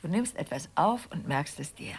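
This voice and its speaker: Dry frustrated wife in a radio play, husky creaky voice, quietly defiant. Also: female voice, deep voice, animated, moderate pace, heavily acted. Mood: resentful, dry. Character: frustrated, hospitable wife, quietly defiant toward her husband. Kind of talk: German radio play acting.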